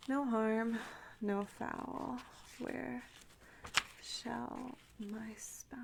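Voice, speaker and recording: A woman rambling softly and in whispers as an ASMR host, with a cutesy, coaxing, playfully teasing manner. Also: on the high side, steady tone, drawn-out words, vocal fry. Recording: faint background noise.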